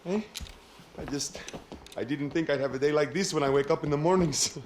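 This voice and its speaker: An actor playing a timid young office computer technician with a Brazilian accent, anxious and hesitant, in a video game cutscene. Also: male voice, on the high side, brisk, a little hiss or hum, natural voice. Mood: nervous, hesitant.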